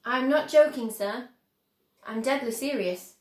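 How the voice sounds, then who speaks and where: A woman's voice: high-pitched, unhurried, echoey room. A woman voicing an eccentric, exuberant old man who owns a chocolate factory, speaking earnestly and emphatically in a storybook reading.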